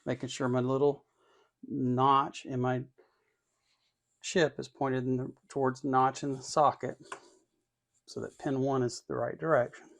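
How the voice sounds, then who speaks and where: A man narrating a hands-on electronics build casually, thinking aloud in an unhurried way and muttering to himself as he works. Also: mid-range voice, flat delivery, vocal fry. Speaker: electronics hobbyist host.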